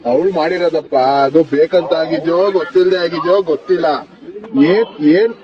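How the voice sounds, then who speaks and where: A man's voice: fairly high pitch, fairly even intonation, a little fast, crowd noise, natural voice. A man with a Karnataka accent playing a stern middle-aged police inspector in a prank call, coaxing and threatening as he interrogates. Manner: interrogating, repeats questions, switches between coaxing and threats. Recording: muffled, distant phone call with loud noise.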